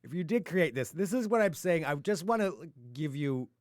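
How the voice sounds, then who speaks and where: A man's voice: on the high side, lively intonation, normal speed, no echo, clean studio sound. Teasing young man host. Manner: teasing, laughs often. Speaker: radio show host. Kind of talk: casual podcast banter.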